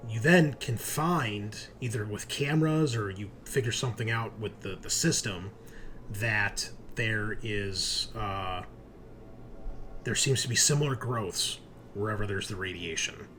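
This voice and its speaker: A man's voice: on the low side, a little slow, fairly bright tone. Man game-mastering over a noisy recording. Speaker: game master of a tabletop roleplaying game. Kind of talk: casual game narration and table chat. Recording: clearly noisy recording with room ambience.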